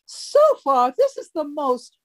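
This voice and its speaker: A disdainful society lady for a radio farce. She is very high, swoops wildly and drawls very slowly, gushing with affected snobbery. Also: very animated, a bit bright, slight background noise, full character voice. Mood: snobbish disdain. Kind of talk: old-time radio comedy acting.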